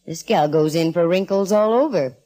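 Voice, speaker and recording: An actress playing a breezy, wisecracking woman private eye in a 1940s radio detective drama, flirty and quick with wry quips. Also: lowish voice, close to an everyday voice. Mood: wry, reflective. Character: wisecracking, flirty female private eye narrating her case. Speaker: lead actress. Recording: vintage 1940s radio recording.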